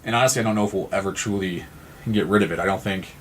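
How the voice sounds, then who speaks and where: A man from Missouri with an American accent, speaking as an interview guest in an earnest, halting, reflective way. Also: sits low, a little hiss or hum. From Missouri, United States. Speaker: nonprofit representative and guest. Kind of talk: conversational interview.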